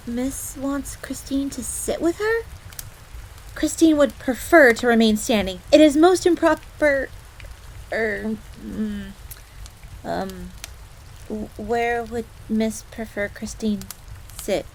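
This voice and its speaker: A woman's voice: on the high side, slow, low-quality recording. A woman playing a proud, easily flustered fox-girl maid in a roleplay audio drama, speaking formally in the third person with stammers. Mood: shy, hesitant. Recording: steady rain noise throughout. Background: rain ambience.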